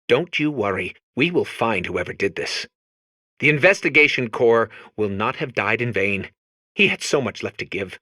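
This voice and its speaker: A man's voice: medium pitch, bright, forceful tone, no echo, clean studio sound, heavily acted. A man voicing a solemn, needy robot in mourning, overwrought and melodramatic, in a comic video game. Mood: grieving, vengeful.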